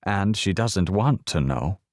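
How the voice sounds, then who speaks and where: A man's voice: low voice, lively intonation, takes it slowly, no room sound, studio quality. A man voicing an older woman's ghost, a bossy elder sister who cuts in sharply. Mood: curt.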